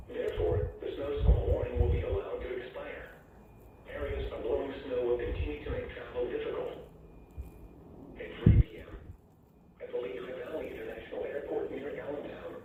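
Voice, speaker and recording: A synthetic American male voice reading a formal weather bulletin in an impersonal, measured way, heard through a radio. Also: medium pitch. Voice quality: synthetic text-to-speech voice. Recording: tinny weather radio, echoey, distant, faint noise.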